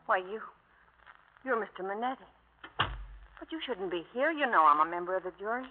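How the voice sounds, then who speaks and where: A woman's voice: mid-range voice, animated, brisk, faint music behind, lightly acted. An actress playing an anxious, upright widowed mother in a 1950s radio drama, nervous and earnest. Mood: uneasy. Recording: thin, tinny old radio broadcast.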